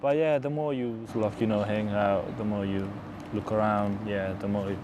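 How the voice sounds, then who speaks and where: Young Afghan-born man with low voice. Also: normal speed, muffled recording, background noise. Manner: relaxed, chatty, drops in many fillers. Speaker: interviewee, engineering student. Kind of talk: documentary interview.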